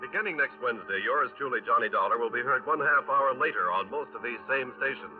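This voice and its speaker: A thin, band-limited vintage radio recording with music carries an American male announcer. His mid-pitched voice delivers scripted copy in a formal, polished manner. The style is classic 1950s network announcing. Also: lively intonation, fast talker, phone-quality sound.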